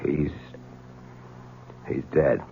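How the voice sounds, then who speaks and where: An actor playing an earnest, anxious reporter with urgent, broken phrasing in 1970s radio drama style on an old broadcast. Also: male voice, extremely slow. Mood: shaken. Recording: muffled old radio broadcast, faint hiss.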